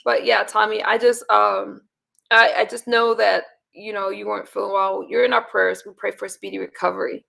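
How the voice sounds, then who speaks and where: Gravelly hoarse woman hosting a stream. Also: mid-range voice, flat delivery, normal speed, fairly bright tone. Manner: rambling, talks to the chat directly. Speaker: livestream host. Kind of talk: casual livestream chat.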